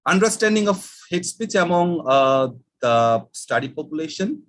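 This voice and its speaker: A man, a Bangla speaker, giving an academic research presentation with many hesitant filler pauses. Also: a little high, expressive, a bit bright. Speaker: conference presenter.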